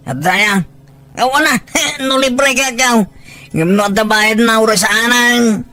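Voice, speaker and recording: An actor playing a naive, bashful, lovestruck young village bachelor in a comic audio drama. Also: male voice, very high-pitched, slow, sharp tone, heavily acted. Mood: naive, eager.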